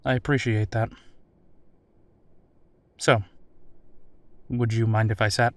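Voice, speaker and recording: Audio drama captain, male actor: patient, polite interviewer, conversational delivery, medium pitch, dry studio sound. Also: flat delivery, slow, dry recording, natural voice. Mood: polite, measured.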